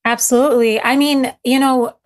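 A woman's voice: sits high, steady tone, moderate pace. A young woman author from Syria, answering interview questions earnestly with many "you know"s. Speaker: author guest.

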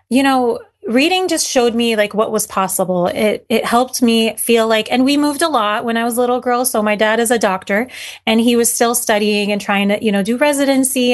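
A woman who came to America from Syria as a child, talking earnestly about her writing as an interview guest, with frequent fillers and restarts. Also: on the high side. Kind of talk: casual interview talk.

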